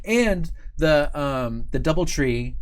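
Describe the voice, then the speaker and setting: A man's voice: fairly high pitch, animated, slow pace. A man hosting a live stream in a casual, conversational way, thinking aloud as he goes. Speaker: host of a company live stream.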